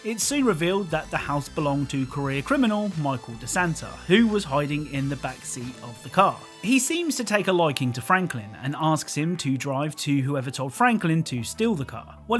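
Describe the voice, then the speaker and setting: A man's voice: on the high side, a bit bright. A man reading scripted video game commentary in a dryly humorous way. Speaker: video narrator. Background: music.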